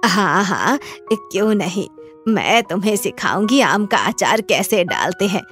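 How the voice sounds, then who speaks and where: A woman voicing a kindly old grandmother with a halting, affectionate way of talking, in a moral story. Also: mid-range voice, animated, a bit bright, soft background music, pitched below the performer's own voice. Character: kindly old grandmother who makes pickles.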